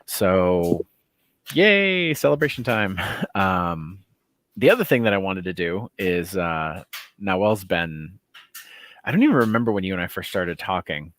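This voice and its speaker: Low-voiced guy hosting a stream, jokey and rambly, dry close audio. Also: big pitch swings, unhurried, fairly bright tone, no echo. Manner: joking, rambling, with many fillers. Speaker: host. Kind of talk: casual livestream chat.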